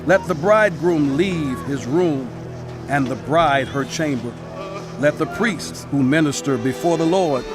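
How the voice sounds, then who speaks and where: An actor playing a grave, exhorting prophet who narrates dramatized scripture in a solemn, measured, declamatory way. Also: male voice, a little high, natural voice. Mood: urgent exhortation.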